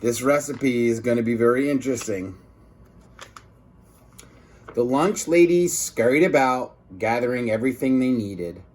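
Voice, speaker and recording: A man's voice: mid-range voice, unhurried, long pauses, a little mellow. A man reading a children's book aloud as a teacher, expressively emphasizing words for young listeners.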